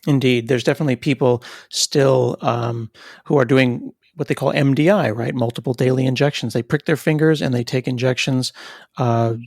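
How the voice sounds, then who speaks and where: A middle-aged man hosting a podcast interview, talking in a relaxed, thoughtful way with occasional restarts. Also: mid-range voice, normal speed, no room sound, clean studio sound. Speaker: podcast host. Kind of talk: conversational interview.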